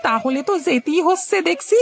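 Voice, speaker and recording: A woman voicing an excitable, anxious but eager village farmer husband in a cartoon story, exclaiming and repeating words. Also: high-pitched, brisk, gravelly. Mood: excited. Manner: exclamatory, lamenting and calling out in repeats.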